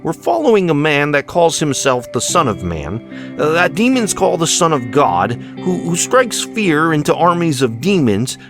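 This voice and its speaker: A man voicing a cocky, excitable male follower in an audiobook, with emphatic, swaggering, rhetorical delivery. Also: fairly high pitch, normal speed, voice pushed up. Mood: fired up. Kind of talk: acted audiobook dialogue. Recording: music behind the voice.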